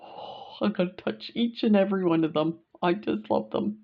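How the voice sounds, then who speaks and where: A woman's voice: mid-range voice, normal speed, muffled recording. A middle-aged woman with a Canadian accent chatting casually and gushing as she unboxes beads for her vlog, with plenty of fillers. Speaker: beading vlogger.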